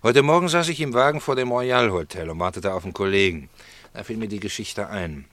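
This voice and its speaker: Ironic male regular, sly, teasing, very animated intonation, radio play dialogue. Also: fairly low pitch, fairly quick, no echo. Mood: insinuating. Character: needling, ironic male regular at a snack bar. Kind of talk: German radio drama acting.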